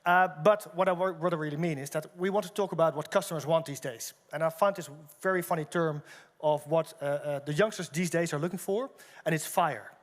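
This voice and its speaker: A fast-talking man on a conference stage keeps drawing in the crowd and tacking "right?" onto his points. His voice is a touch higher than most men's and rings with the long reverb of a big hall. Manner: quick, animated, asks the audience questions, repeats "right?". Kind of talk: on-stage conversation.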